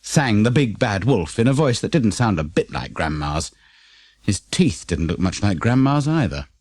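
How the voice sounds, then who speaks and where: A man's voice: on the low side, big pitch swings, normal speed, darkish tone, no room sound. A man with a British accent reading a children's story expressively as an audiobook narrator.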